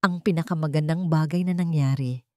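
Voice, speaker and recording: A woman's voice: low voice, a little fast, no echo, studio quality, lightly acted. A woman voicing a wealthy businesswoman who speaks heartfelt and firm words in a storybook romance. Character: billionaire businesswoman, proud but tender in love.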